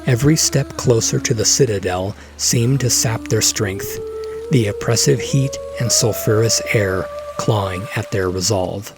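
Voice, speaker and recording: A man's voice: on the low side, measured pace. A man reading scripted dramatic fantasy narration. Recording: clear music bed behind.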